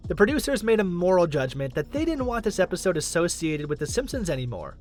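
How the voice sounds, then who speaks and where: A man narrates a scripted countdown video in a high, animated voice. He explains things with emphasis, while faint music plays behind him. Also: fast.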